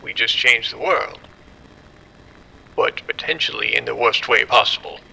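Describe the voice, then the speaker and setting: Male actor grim lead scientist, gravelly, very sharp tone, deliberate, commanding, cold, horror audio drama, faint rumble. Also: heavily acted, rough recording. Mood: grim resolve. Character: cold, commanding male doctor covering up a disaster.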